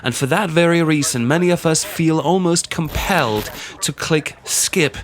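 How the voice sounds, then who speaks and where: A man's voice: a little high, normal speed. A man hosting a video essay in scripted narration. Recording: music behind the voice. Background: background music.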